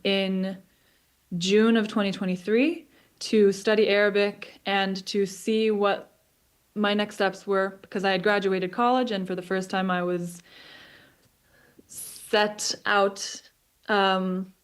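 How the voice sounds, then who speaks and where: A young woman in her twenties speaks over a remote video link, her voice smooth and clear at an ordinary middle pitch. She talks in a considered way, with frequent ums and small restarts. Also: level tone, slow pace. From Israel, raised in the US. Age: 20s. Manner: thoughtful, many ums and restarts. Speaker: guest speaker. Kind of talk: personal talk over video call. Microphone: remote video call.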